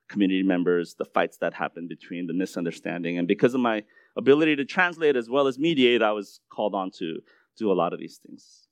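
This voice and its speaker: Korean American male preacher, low voice, casual testimony style, dry sound. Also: no room sound. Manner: conversational, repeats words and restarts, uh fillers. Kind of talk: sermon testimony.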